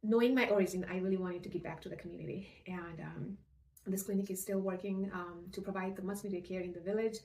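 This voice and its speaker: A middle-aged woman from Nepal with a Nepali accent, earnestly telling her personal story in measured phrases. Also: on the low side, steady tone, vocal fry, dark tone. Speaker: nurse practitioner and functional medicine provider. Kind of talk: personal story told to camera. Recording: roomy echo, distant microphone.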